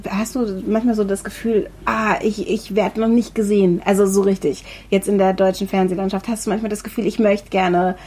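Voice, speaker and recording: A woman's voice: medium pitch, level tone, fairly quick, hardly any pauses, a little mellow, husky. A woman hosting a podcast, asking questions in a casual, encouraging way with hesitant fillers. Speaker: podcast host.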